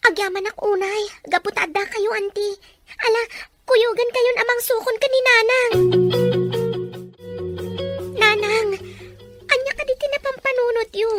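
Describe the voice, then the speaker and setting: Woman acting very high-pitched devoted young daughter. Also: steady tone, moderate pace, pauses often, a bit bright, dry recording, soft background music. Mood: worried, pleading. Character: earnest, devoted young daughter, a working student. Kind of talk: melodramatic drama acting.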